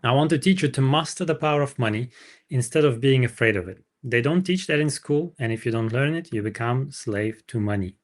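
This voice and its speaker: A man's voice: medium pitch, fairly quick, natural voice. A man reading the storybook part of a wise, frank older mentor, speaking in an emphatic, teacherly way. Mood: earnest, instructive. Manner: didactic, emphatic.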